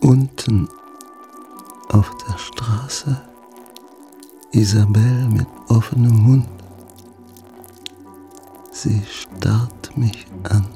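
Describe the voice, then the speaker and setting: An actor playing a dazed, injured man in a radio drama, narrating in a detached, creaky voice. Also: fairly low pitch, steady tone, very slow, pauses often, over music. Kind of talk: slow first-person radio drama monologue.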